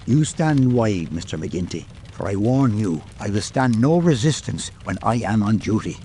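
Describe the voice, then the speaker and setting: Casting: stern police captain played by a man, mellow lowish voice, curt commands, audiobook drama, some background noise. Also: very animated, normal speed. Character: stern, unyielding male police captain on duty. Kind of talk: audiobook character acting.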